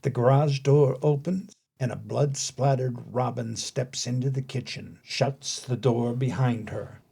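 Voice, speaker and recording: A man's voice: mid-range voice, fairly even intonation, unhurried, creaky, mellow, a little hiss or hum. A man narrating an audio play, reading scripted stage directions.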